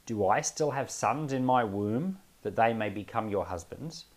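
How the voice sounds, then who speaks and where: A man speaking as a pastor, reading scripture aloud and explaining it informally, with expressive and emphatic phrasing. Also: lowish voice, a little slow.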